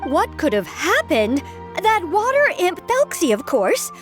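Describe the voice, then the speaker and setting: An earnest, fretful townswoman from a video game, high-pitched and lively. She tells things emphatically and dramatically, like passing on gossip, with soft music behind. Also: measured pace, exaggerated voice. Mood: urgent, gossipy. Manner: expressive, emphatic, dramatic storytelling. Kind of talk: video game character acting.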